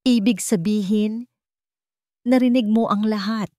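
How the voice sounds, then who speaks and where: A woman voicing a brave young undercover maid, calm and resolute, in a dramatic story. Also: mid-range voice, measured pace, no echo, clean studio sound, voice pushed up, lightly acted. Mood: calm realisation.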